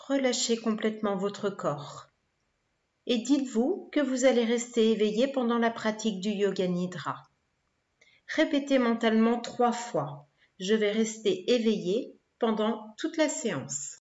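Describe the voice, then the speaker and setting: Slow, mellow woman guiding relaxation. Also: fairly high pitch, dull audio. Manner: soothing, measured, with pauses between short phrases. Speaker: yoga nidra guide. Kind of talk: guided relaxation meditation, instructing listeners. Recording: roomy, reverberant sound.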